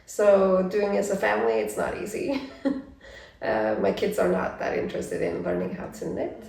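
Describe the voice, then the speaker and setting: A woman's voice: mid-range voice, huge pitch swings, moderate pace, creaky, darkish tone, heavy reverb, recorded from a distance, rough recording. A woman in her forties from Norway, chatting casually and searching for words. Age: 40s. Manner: searches for words, laughs easily. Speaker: family vlogger. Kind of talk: casual chat.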